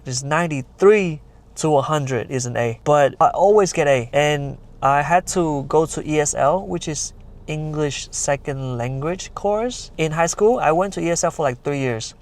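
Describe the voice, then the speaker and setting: Guy in his 20s from malaysia doing a daily vlog, easygoing, kinda broken english grammar, lots of "like". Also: on the high side. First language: Chinese dialects. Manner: plain conversational, uses lots of "like" and "you know", nonnative grammar. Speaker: vlogger. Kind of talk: casual vlog talk to camera.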